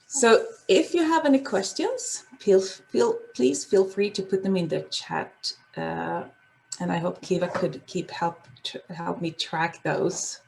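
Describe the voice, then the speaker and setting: Hesitant Swedish-accented woman, gravelly voice. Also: on the low side, slow pace, a little mellow, slight background noise, poor audio. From Sweden. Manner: hesitant, many fillers and restarts. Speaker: guest presenter. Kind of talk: casual online talk with slides.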